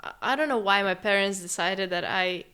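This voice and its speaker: A young woman from Uzbekistan whose first language is Russian talks casually on a podcast. Her voice is smooth and clear, and her pitch sits in the middle. She keeps the chat lively and quick, with asides and laughter. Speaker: podcast guest.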